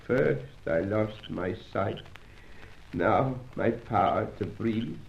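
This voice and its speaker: A man's voice: lowish voice, slow pace, creaky, a little hiss or hum. An actor playing a dying, blind old scientist in a radio adventure serial, mournful, remorseful and formal in his phrasing. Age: older. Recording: dull, echoey room.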